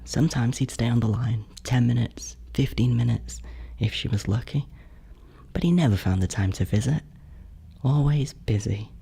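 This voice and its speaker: An actor voicing a bitter, yearning ghost in a horror audio drama monologue, brooding and breathy, often close to a whisper. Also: mid-range voice, warm tone. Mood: resentful, hushed. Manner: slow, halting, brooding. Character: bitter, yearning ghost of a stillborn adult sibling.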